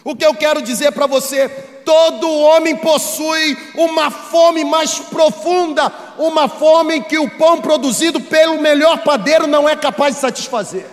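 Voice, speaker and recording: A Brazilian man delivers a sermon in a very high-pitched voice. He preaches emphatically, building rhetorical weight through repeated phrases. His voice rings with the long reverb of a large hall. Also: fairly even intonation, normal speed. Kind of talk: sermon to a congregation.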